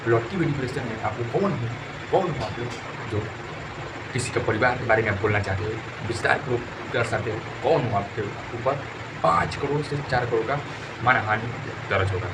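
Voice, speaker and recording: A man's voice: mid-range voice, heavy background noise. A man with a Bhojpuri accent presenting informal gossip news commentary in an emphatic, repetitive, scolding colloquial way.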